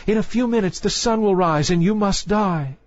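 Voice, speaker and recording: A man's voice: sits high, normal speed, a little mellow, no echo, dull audio, voice pushed up, close to an everyday voice. A man voicing mournful young mermaid sisters, pleading urgently in a storybook reading. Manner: urgent, imploring. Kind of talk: storybook narration dialogue.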